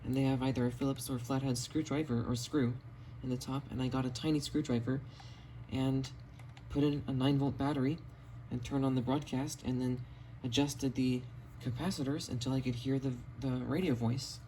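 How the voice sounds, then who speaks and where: Mellow-voiced man in a casual vlog. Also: medium pitch, steady tone, normal speed, low-quality recording. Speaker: radio collector presenting finds. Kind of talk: casual show-and-tell vlog. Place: home basement. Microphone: cell phone. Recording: audible background noise, phone recording.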